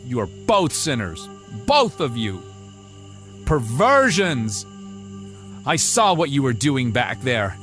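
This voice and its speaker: A man's voice: medium pitch, sing-song, slow, long pauses, a bit bright, faint music behind. A man playing a sick, enraged villager ranting with religious fervour in an audio drama. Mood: raging, accusing. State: sick, dying. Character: feverish, enraged zealous male villager caught poaching sheep.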